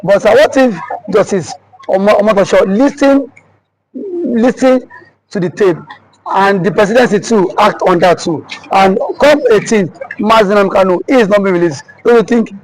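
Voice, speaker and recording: A male interviewer with a high-pitched voice, asking polite, probing questions. A faint hiss runs beneath his voice. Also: moderate pace, poor audio.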